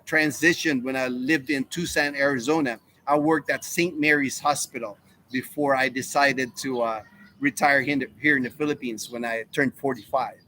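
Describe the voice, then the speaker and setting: A man's voice: mid-range voice, bright, forceful tone. A man in his fifties, from the United States, hosting a casual live Q&A stream and rambling through answers to chat questions. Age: 50s. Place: home in the Philippines.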